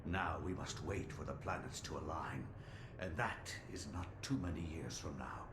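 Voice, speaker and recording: An actor voicing a menacing ancient demonic servant who gives commands and threats. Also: male voice, extremely low pitch, moderate pace. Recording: echoey with loud ambient noise.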